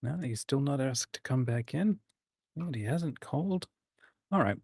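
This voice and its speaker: A man with a mid-pitched voice chats casually as the host of a livestream. His manner is informal and encouraging, and the sound is close and dry. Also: lively intonation, unhurried, creaky, a little mellow, slightly rough, no echo. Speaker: host of a writing community livestream.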